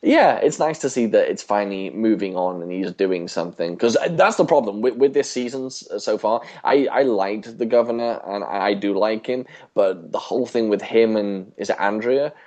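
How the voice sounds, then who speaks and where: A man co-hosting a podcast in casual discussion, talking in a hesitant, halting way and rephrasing as he goes. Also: lowish voice, a little mellow. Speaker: podcast co-host.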